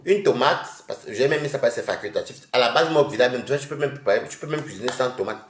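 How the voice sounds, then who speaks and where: A man's voice: mid-range voice, lively intonation, fast talker, a bit bright, roomy sound. A man with a head cold, chatting casually with viewers on a live stream from home while he eats. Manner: chatty and rambling, addressing viewers directly.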